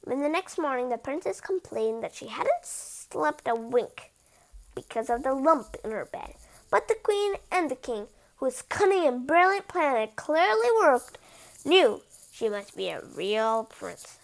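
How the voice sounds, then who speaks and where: Woman, storybook read-aloud host. High voice, very lively, unhurried, casual asides. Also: creaky, rough recording. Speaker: host reading a picture book aloud.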